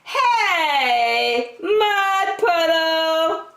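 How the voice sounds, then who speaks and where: An excitable little storybook girl, acted out by a woman, shouts and wails in a high, swooping voice. Her calls are long and drawn out, and the room rings around her. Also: extremely slow, reverberant, exaggerated voice. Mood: bold calling.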